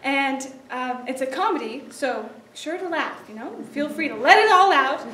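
A woman's voice: high-pitched, expressive, normal speed, pushed and bright. A young woman giving an informal, chatty introduction to a show as its director, with a giggly manner. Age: young adult. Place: theatre stage, indoors. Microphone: distant audience camera. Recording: echoey theatre from far off, noisy.

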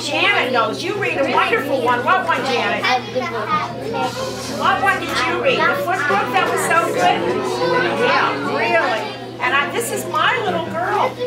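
Older woman teacher, high and very animated. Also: a little slow. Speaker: special education teacher. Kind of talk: prompting young children with questions. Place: school classroom with children. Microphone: camera across the room. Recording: roomy, distant mic, very loud crowd.

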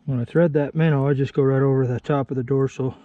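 A man's voice: mid-range voice, steady tone, quick pace, no echo, narrow, tinny audio. A man casually explaining his ice fishing setup outdoors on a frozen lake, plainspoken and self-deprecating, recorded on a body-worn action camera. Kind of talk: casual how-to narration.

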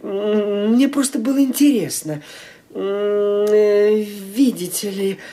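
An actor playing a young nephew who stammers and apologizes nervously, full of hesitant fillers. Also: male voice, sits high, slow, dark tone. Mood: flustered.

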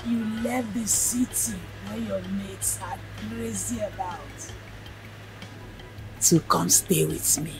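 An actress playing an elderly Nigerian grandmother near the end of her life, speaking slow, solemn blessings. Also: mid-range voice, over music, natural voice. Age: older. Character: elderly village grandmother, gentle, near death.

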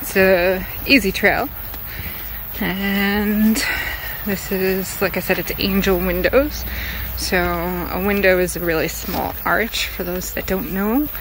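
A woman's voice: medium pitch, fairly even intonation, slow pace, noisy background. A woman vlogger chatting casually as she walks a wooded trail outdoors, pointing things out as she goes. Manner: chatty, easygoing, points things out while walking. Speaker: hiking vlogger.

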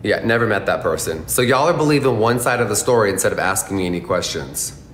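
A man's voice: animated, quick pace, fairly bright tone. A man sarcastically and indignantly denying an accusation. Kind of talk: defensive clip played on stream. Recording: roomy clip with faint rumble.

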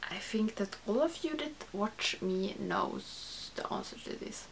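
A woman's voice: medium pitch, expressive, unhurried, gravelly, slight background noise. A young woman, a non-native English speaker, chatting casually to camera in a small room, rambling and correcting herself with lots of "like". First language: not English. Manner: rambling, self-correcting, frequent "like". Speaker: beauty YouTuber. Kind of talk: casual chat to camera, answering a tag. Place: small room with makeup shelves, indoors.

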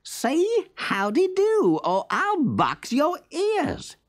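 A man voicing a cocky, boastful male rabbit trickster in a squeaky, drawling storybook voice. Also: wildly swooping pitch, extremely slow, exaggerated voice. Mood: blustering.

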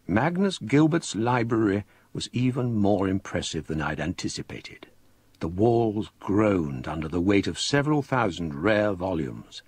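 An actor playing a grave English gentleman narrating a ghost story for radio, literary and deliberate. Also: lowish voice, husky, no room sound. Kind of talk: dramatic scripted narration.

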